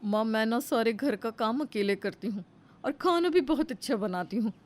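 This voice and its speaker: A woman's voice: on the high side, animated, moderate pace, lightly acted. A woman voicing a crafty, boastful elder daughter-in-law chatting away in a moral story.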